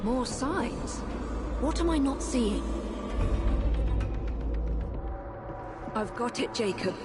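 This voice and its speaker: An actress playing a determined Victorian woman detective in a video game, thinking aloud in a measured, purposeful way. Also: a little high, expressive, loud music behind the voice. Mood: puzzled.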